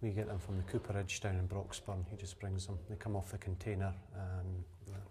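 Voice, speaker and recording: A man's voice: low-pitched, level tone, brisk, mellow, slight background noise. A man in his thirties explaining his distillery plainly, with a lot of "uh". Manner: plain, matter-of-fact explanations with frequent "uh". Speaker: distillery owner. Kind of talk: explaining on a tour. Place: indoors in a distillery still house and a cask warehouse.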